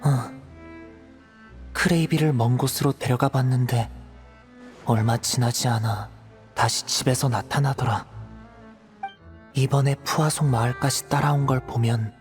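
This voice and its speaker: A man's voice: mid-range voice, measured pace, long pauses, soft background music, natural voice. Man voicing a subdued, withdrawn teenage boy. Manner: subdued, reticent. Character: withdrawn, apologetic, gentle teenage younger brother figure. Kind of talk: video game voice acting.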